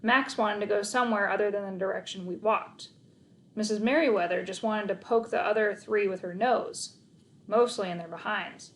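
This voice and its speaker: Woman, mid-pitched voice, children's book narrator, expressive read-aloud delivery. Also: moderate pace.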